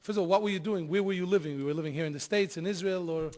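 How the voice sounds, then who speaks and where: A man's voice: high voice, racing, a little mellow. A man hosting a TV interview, asking several probing questions in a row. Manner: probing, asks stacked questions. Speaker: interview host.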